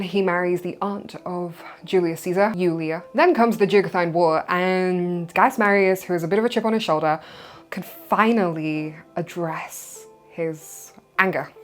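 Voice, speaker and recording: Welsh woman host, young, low-pitched, informal history talk over faint music. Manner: chatty and informal, with loose asides. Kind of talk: casual educational monologue.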